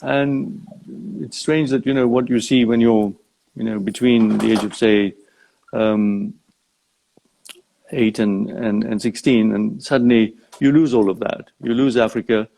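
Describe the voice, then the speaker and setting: An older man from Uganda speaking as an interview guest in a live online chat, thoughtful and halting as he phrases things. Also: mid-range voice, fairly even intonation, creaky, mellow, poor audio. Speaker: interview guest, safari company founder. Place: live social media video chat.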